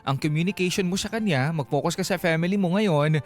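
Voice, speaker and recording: Filipino man radio host, higher-pitched voice. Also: a little fast. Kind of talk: casual radio advice talk, reading listener comments. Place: radio studio. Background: background music.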